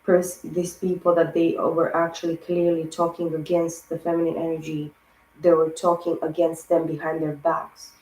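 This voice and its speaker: A woman giving a rambling spiritual tarot reading, hoarse and losing her voice, piling up words in long lists. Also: deep voice, a little mellow, reverberant, poor audio. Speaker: tarot reader.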